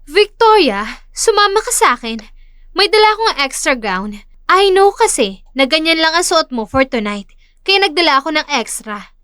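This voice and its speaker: A woman plays a spoiled, boastful elder sister in an audio drama. Her voice is high and lively, swooping as she sneers and shows off with bits of English. The recording is close and dry. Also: normal speed, no echo. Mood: bossy, patronising. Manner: showy, sneering, mixes English into speech.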